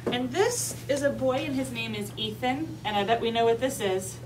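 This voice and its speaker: High-pitched woman teacher, distant and noisy. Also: normal speed. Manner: simple, coaxing, child-directed instruction with questions. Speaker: teacher reading aloud to kindergarteners. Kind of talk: interactive read-aloud lesson. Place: classroom with young children. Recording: distant mic, noisy room.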